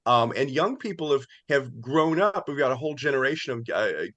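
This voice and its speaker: A man in his sixties talking casually as an interviewed playwright, telling things in a wordy, halting way. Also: mid-range voice, sing-song, a bit bright, no room sound.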